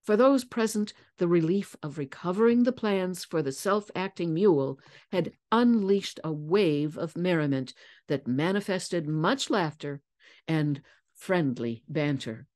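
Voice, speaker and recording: A woman giving a dramatic audiobook narration, measured and theatrical, with careful enunciation. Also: lowish voice, animated, darkish tone. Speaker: narrator, voice actor.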